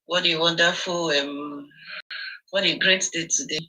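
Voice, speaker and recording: A woman's voice: lowish voice, fairly even intonation, measured pace, pushed and bright, poor audio. A woman with a Nigerian accent calling in to share a testimony, telling her story with hesitant ums. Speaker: caller sharing a testimony. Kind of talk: call-in testimonial.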